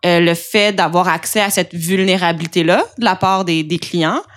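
A woman's voice: fairly low pitch, moderate pace, fairly bright tone. A woman co-hosting a podcast, asking hesitant, winding questions. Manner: hesitant, rambling questions with restarts. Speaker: podcast co-host. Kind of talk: casual interview chat.

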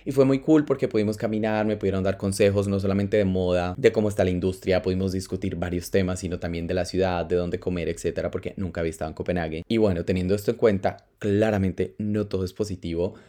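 A man hosting his own podcast, speaking in a very low, mellow voice. He tells his story in an animated, chatty way and slips in the odd English phrase. His first language is Spanish. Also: fast, hardly any pauses. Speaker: podcast host. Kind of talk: casual solo podcast monologue.

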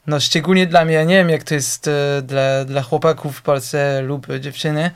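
Guest, male, Polish speaker, hesitant, fills pauses a lot, smooth clear voice, a little high. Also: steady tone. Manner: hesitant, searching for Polish words, many fillers. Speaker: actor, guest. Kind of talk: casual interview chat.

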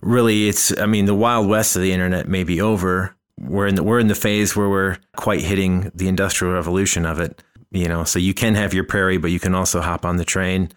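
A man with a deep, smooth voice hosts a casual podcast conversation. He muses at length, restarting phrases as he works toward his point. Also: steady tone, a little fast. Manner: thoughtful, rambling, often restarts phrases. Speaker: podcast host.

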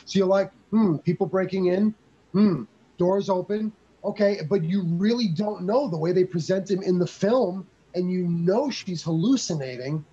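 A man co-hosting a casual movie review podcast, speaking in a halting, conversational way. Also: high-pitched, mellow, slightly rough. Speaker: podcast co-host. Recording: dull lo-fi audio.

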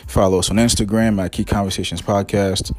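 A podcast host in his forties, originally from Haiti, talks quickly in a relaxed, conversational way. His voice has a slightly husky edge. He keeps up a steady run of fillers and restarts. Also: on the low side. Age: 40s. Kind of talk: casual interview chat.

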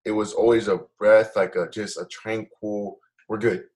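A man's voice: fairly low pitch, little pitch movement, normal speed, a little mellow. A young man chatting casually with a friend as a podcast host, in a loose, slangy, affirming way. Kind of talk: casual chat between friends.

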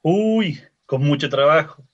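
A man's voice: on the high side, expressive, takes it slowly, dull audio. A man with a Chilean accent playing a chatty, teasing cemetery worker in a radio drama. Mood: friendly, weary. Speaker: actor. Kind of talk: radio drama acting, colloquial dialogue.

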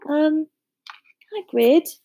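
A woman puts on a young boy from a storybook with a high voice. He sounds unsure and full of wonder, hesitant as he speaks and quick to question. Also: lively intonation, dark tone, heavily acted. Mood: worried.

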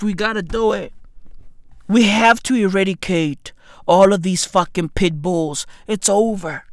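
A man, doing a comedic rant full of slang and sudden shouts. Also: high-pitched, no echo, clean studio sound. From South Africa. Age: 30s. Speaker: comedy podcast host.

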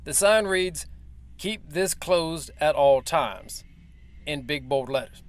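An actor playing a male military major who briefs the press in a formal, measured and evasive way. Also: on the high side. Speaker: official spokesman. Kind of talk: audio drama acting.

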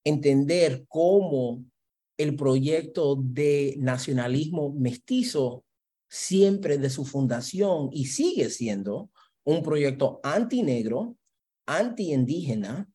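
A man's voice: fairly high pitch, expressive, takes it slowly, dark tone, breathy edge. Brooklyn-raised man with Puerto Rican-tinged Spanish. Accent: Puerto Rican-influenced Spanish. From Brooklyn, New York. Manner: mixes English into Spanish, frequent "¿verdad?" tags. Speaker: academic guest. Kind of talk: reflective interview answers.

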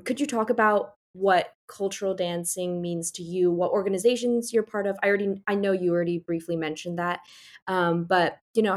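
A woman interviewer asking quick, friendly questions. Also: on the low side.

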